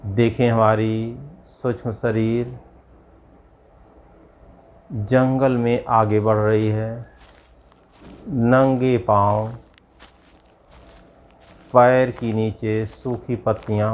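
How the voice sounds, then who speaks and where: A man guiding a yoga nidra meditation as a yogi, giving measured instructions in short, spaced phrases. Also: lowish voice, little pitch movement. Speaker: yogi leading guided meditation.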